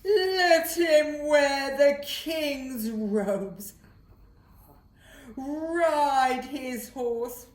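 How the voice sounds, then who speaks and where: A woman voicing a self-important royal advisor in a children's puppet show, measured and grand, in an echoey room. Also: high-pitched. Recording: roomy echo.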